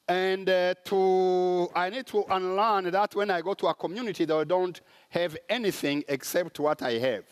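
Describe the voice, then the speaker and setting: A man from Rwanda with a Rwandan accent, giving a conference talk in a sermon style with frequent pauses and fillers. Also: fairly high pitch. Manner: halting, with many "uh" pauses. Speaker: conference speaker. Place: large conference hall.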